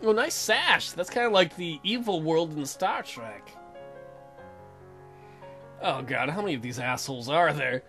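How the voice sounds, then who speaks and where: A man with a fairly high voice gives casual let's play commentary. He is irreverent and thinks aloud as he goes. Game music plays behind him. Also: lively intonation, measured pace, pauses often, a bit bright.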